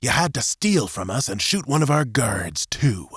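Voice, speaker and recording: A man's voice: medium pitch, big pitch swings, moderate pace, fairly bright tone, slightly rough, no echo, clean studio sound, pitched above the performer's own voice, full character voice. Scornful, bitter veteran soldier played by a man. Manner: scornful, spitting words. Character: hostile, bitter veteran soldier in his forties. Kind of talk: dramatized audiobook acting.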